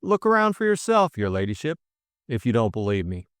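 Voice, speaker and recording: Man doing an anxious, whining male servant, swooping pitch. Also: very animated, fairly quick, clear, resonant tone, no room sound, studio quality, lightly acted. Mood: wailing, dismayed. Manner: plaintive, ungrammatical, deferential to his lady.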